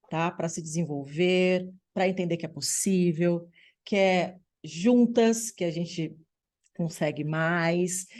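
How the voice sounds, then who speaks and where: A Brazilian woman hosting an online women's group meeting over a video call, upbeat and encouraging, often checking in with the audience. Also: lowish voice. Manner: upbeat, warm-sounding through her words, drops in frequent "tá bom?" check-ins. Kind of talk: lively welcoming online meeting talk.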